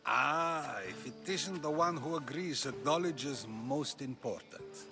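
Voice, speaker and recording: A genial, probing philosopher for a video game. The voice is a little high and theatrical, with music clearly behind it. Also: male voice, unhurried. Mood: teasing. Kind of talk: video game dialogue acting.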